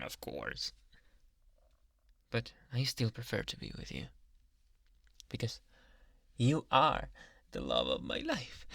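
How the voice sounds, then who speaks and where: A man acts out a young yandere doctor in an intimate ASMR roleplay, doting and sweetly menacing. His delivery is slow and creaky, drifting between breathy murmurs and whispers. It is recorded close and very dry, like a captor speaking right into the ear. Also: medium pitch, a bit bright, dry recording, exaggerated voice. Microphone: close microphone.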